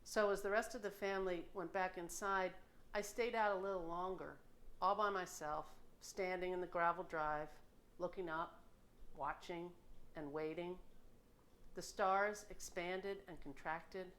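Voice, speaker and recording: A woman's voice: fairly low pitch, fairly even intonation, measured pace, fairly bright tone. A middle-aged woman with an American accent reads her own personal memoir as an author, in a reflective, informal storytelling manner, recorded in a home kitchen. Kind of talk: personal memoir audiobook narration.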